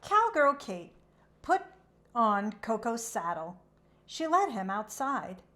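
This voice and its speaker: Lady doing kids storytime narration, takes it slow, pitch moves around a lot. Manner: measured, animated reading to children. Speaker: children's book read-aloud host.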